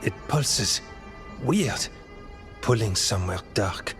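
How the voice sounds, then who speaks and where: An actor playing an uneasy male warden in a video game, speaking in a measured, halting way. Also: fairly low pitch, expressive, loud music behind the voice. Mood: uneasy, searching. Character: uneasy male warden who senses a corrupted song. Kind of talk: game dialogue acting.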